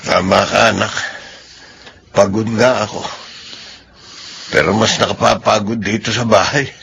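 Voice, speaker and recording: An older Filipino father in a radio drama, with a creaky, forceful voice over noticeable noise. He is weary and caring, gravely admonishing, disappointed in his children. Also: fairly low pitch, fairly even intonation, a little slow.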